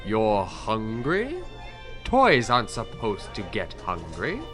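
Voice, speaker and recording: A man's voice: lowish voice, huge pitch swings, slow pace, music in the background, full character voice. A man playing an earnest, disgruntled stuffed toy come to life in an audio drama. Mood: wary.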